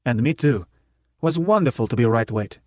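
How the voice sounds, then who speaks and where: A man's voice: medium pitch, lively intonation, normal speed, no room sound. Male narrator for first-person fiction, clipped telegraphic delivery, dry studio sound. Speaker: audiobook narrator.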